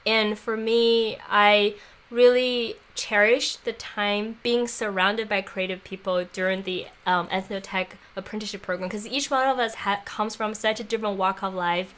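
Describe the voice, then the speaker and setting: A young woman talking in a casual interview, thoughtful and warm, laughing often and using plenty of fillers. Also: mid-range voice, steady tone, normal speed. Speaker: guest, former apprentice. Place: makeshift home studio on an island.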